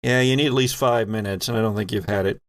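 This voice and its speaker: A man working as game master, narrating a tabletop horror game in a descriptive, suspenseful way with pauses. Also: fairly low pitch, steady tone, a little hiss or hum.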